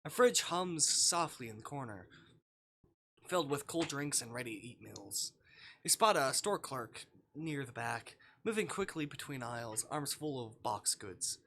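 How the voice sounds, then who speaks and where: A man with a bright, forceful voice streams a game in a casual, playful way. His pitch moves around a lot as he reads aloud and comments offhand. There is some noise in the background. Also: animated, measured pace. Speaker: livestreamer playing a visual novel.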